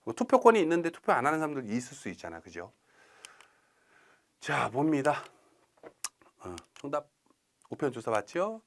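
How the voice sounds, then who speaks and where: A man's voice: fairly high pitch, very animated, normal speed, pauses often. A man giving an informal recorded exam-prep lecture, chatty and colloquial, often checking in with rhetorical questions. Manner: colloquial asides and playful imagined quotes.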